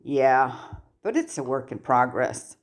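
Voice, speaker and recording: A woman's voice: a bass voice, lively intonation, slow pace, heavily acted. A woman voicing an earnest, curious teenage boy with an unguarded, questioning manner in a story reading. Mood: hesitant.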